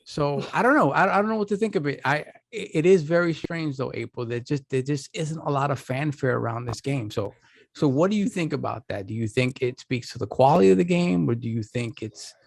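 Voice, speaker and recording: A tired man with a gravelly, worn-out voice, co-hosting a casual gaming podcast and thinking aloud with many restarts. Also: a little high, brisk, no echo. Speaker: podcast co-host.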